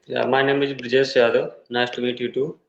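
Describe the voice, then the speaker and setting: A man from India with an Indian English accent, a Hindi native speaker learning English, talking haltingly in an online tutoring conversation over a video call. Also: mid-range voice, level tone, vocal fry, slight background noise. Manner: halting, searching for words with many uh fillers. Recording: echoey, dull video-call audio.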